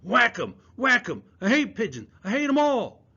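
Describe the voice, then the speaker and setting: A man voicing a jumpy, agitated young boy in an audiobook reading, his voice going shrill when he shouts. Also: very animated, moderate pace, full character voice. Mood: furious. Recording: dull lo-fi recording.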